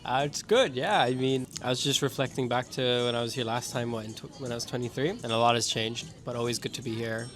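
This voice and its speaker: A man in his twenties answering questions casually, joking and thinking aloud with relaxed hesitations. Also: mid-range voice, quick pace, hardly any pauses. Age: 20s. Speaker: interviewee, birthday guest.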